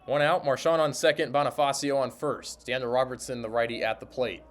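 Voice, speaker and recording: A man's voice: mid-range voice, fairly even intonation, a little fast, a little hiss or hum. A man giving radio play-by-play commentary of a baseball game as the announcer.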